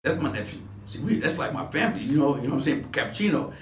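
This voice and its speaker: A man's voice: mid-range voice, lively intonation, very fast, slight background noise. A man in his sixties from Los Angeles, reminiscing as an interview guest in rambling street slang with many restarts. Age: 60s. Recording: echoey room, mic at a distance.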